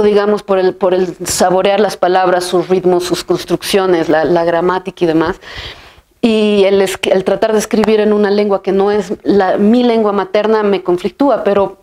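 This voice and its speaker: A woman's voice: medium pitch, dark tone. A woman in her thirties from Bolivia, a filmmaker and writer, answers interview questions thoughtfully, often restarting her phrases and ending them with a questioning tag. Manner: thoughtful, many restarts and fillers, ends phrases with "¿no?". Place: bright room with a plain wall, seated in an armchair.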